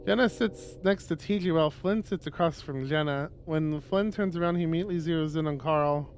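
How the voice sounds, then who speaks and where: A man reading a visual novel aloud on a livestream as dramatic, expressive narration with drawn-out phrases. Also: a little high, a little hiss or hum.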